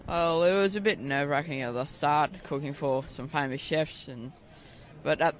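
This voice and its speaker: A man's voice: on the high side, normal speed, a little hiss or hum. Young man answering briefly outdoors. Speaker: guest helper. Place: outdoor food event.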